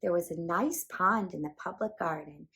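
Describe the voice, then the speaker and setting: A woman reading a children's picture book aloud as a storyteller, in an animated, emphatic way meant for young listeners. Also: on the low side, normal speed.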